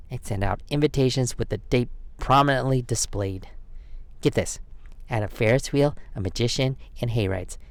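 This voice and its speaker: A man reading a children's picture book aloud as storyteller, expressive and given to dramatic pauses. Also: fairly low pitch, a little hiss or hum.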